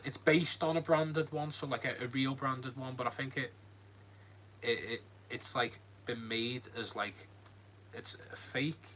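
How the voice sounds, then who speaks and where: A man's voice: medium pitch, a bit bright, slight background noise. Rambling Scouse man chatting live. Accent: Liverpool (Scouse). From Liverpool, England. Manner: rambling, many ums and likes, friendly. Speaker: livestream host. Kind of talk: casual live Q&A chat reading comments. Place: home, lit by a ring light.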